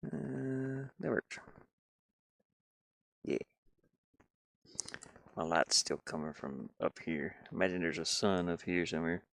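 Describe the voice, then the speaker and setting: A man giving casual, halting live commentary as he works on game art, muttering and thinking aloud. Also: sits low, gravelly, no room sound. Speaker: streamer and hobby game designer.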